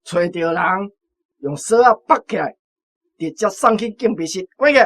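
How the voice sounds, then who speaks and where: Taiwanese army battalion boss, temperamental officer type from a radio play. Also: male voice, high voice, expressive, moderate pace, a bit bright. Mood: angry. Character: hot-tempered battalion commander, fawning to superiors. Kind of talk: radio drama acting.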